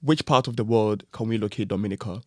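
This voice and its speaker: A man's voice: on the low side, fast, a little mellow, no echo, clean studio sound. A man hosting a podcast interview, enthusiastic and affirming, praising his guest and asking friendly questions. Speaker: podcast host.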